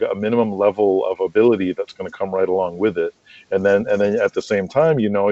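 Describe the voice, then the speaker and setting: A man's voice: fairly low pitch, mellow, no room sound, muffled recording. A man chatting casually and enthusiastically as an interview guest. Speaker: podcast guest, wrestling promoter and radio drama creator.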